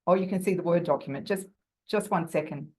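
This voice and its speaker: A woman with a croaky voice giving an academic talk over a video call, thoughtful and full of fillers and restarts. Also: lowish voice, fast, darkish tone. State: croaky from bronchitis. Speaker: guest lecturer.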